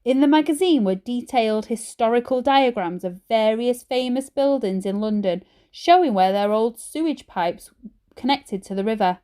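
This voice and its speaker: A woman teacher reading a children's story aloud in an expressive storytelling style. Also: a little high, moderate pace, clean tone.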